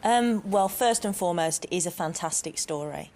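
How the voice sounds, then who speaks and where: Teacher woman, enthusiastic, lowish voice. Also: expressive, normal speed, a little mellow. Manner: enthusiastic, hesitant with ums. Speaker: teacher panelist. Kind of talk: panel discussion.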